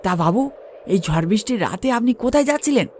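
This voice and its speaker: Man doing a high-pitched, sly village tea seller. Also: animated, a little mellow, slight background noise. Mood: sly, curious. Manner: servile, drawn-out wheedling with sly chuckles. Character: sly, obliging rural male tea seller in ghost tale.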